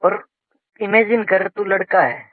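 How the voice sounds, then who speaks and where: Man on a tinny phone call, higher-pitched voice. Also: measured pace, dry recording. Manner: colloquial, teasing, repeats phrases. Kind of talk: casual intimate chat.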